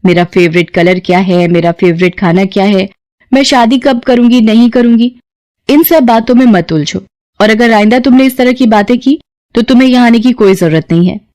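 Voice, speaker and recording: A woman's voice: on the high side, moderate pace, darkish tone, close to an everyday voice. A woman voicing a principled female tutor in an audio story, firm and admonishing in manner. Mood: stern. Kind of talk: dramatized audio story acting.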